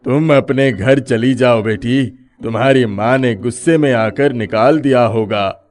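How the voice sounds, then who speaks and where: A man voices a benevolent village headman in a storybook tale. He sounds measured and authoritative, at a medium male pitch. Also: darkish tone, close to an everyday voice. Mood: concerned.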